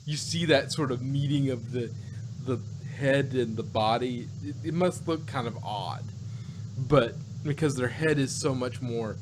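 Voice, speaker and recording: A man co-hosting a casual chat, rambling and halting as he restarts and repeats his phrases. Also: medium pitch. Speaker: podcast co-host. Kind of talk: casual chat, reviewing sci-fi. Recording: noisy home recording with hum.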